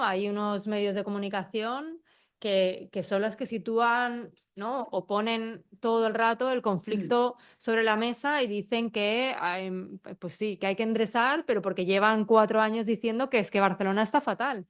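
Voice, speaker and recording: A woman, speaking as a panelist in a round-table discussion and explaining her points with quick asides. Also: fairly high pitch, clean tone. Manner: animated, explanatory, quick asides and brief laughs.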